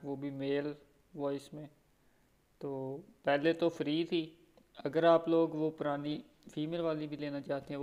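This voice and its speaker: Smooth Pakistani man, fairly even pitch. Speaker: gaming YouTuber. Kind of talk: casual chat over gameplay.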